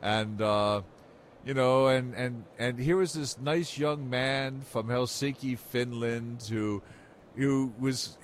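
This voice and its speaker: Man reminiscing over background noise. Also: mid-range voice. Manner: explanatory, anecdotal, rambling. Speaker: interview guest, software industry veteran. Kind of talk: conversational interview storytelling.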